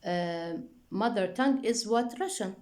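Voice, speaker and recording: Lady teacher talking her class through an exercise, slow steady pace, lots of uh and okay. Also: mid-range voice. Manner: checks in often with "okay?" and "deal?", with frequent fillers. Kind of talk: classroom lesson instruction.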